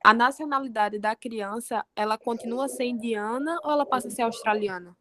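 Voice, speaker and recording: A woman's voice: fairly high pitch, brisk, bright, forceful tone. Hesitant woman student asking a question. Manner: hesitant, searching for words.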